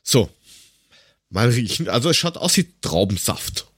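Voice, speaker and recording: A man with a husky, rough-edged voice chatting casually and jokily as a podcast host, full of colloquial exclamations. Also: medium pitch, very animated, unhurried, no room sound, clean studio sound. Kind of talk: casual banter, tasting snacks and drinks.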